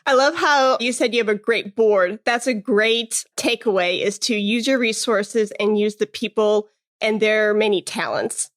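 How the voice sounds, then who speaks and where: A woman's voice: medium pitch, measured pace. A woman from Chicago hosting a podcast, upbeat and encouraging, laughing often with her guest. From Chicago, USA. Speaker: podcast host. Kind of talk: podcast interview.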